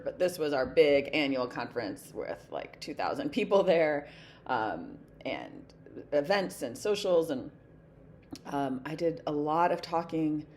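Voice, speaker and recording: Brief: hoarse woman vlogger, low voice, rambling casual chatter, faint room hum. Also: darkish tone. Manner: chatty, rambling, many ums, laughs often. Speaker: flosstube vlogger. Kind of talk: casual chat to camera. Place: home desk.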